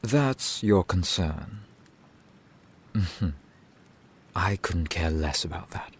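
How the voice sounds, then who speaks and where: Man acting a very deep, gruff grumbling blacksmith. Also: takes it slowly, exaggerated voice. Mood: exasperated, dry. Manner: curt, grumbling, dry, wordy sarcasm. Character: gruff grumbling fantasy town blacksmith, reluctantly kind, adult man. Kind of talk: ASMR roleplay, slow scripted character acting. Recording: steady rain sound behind the voice. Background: rain falling.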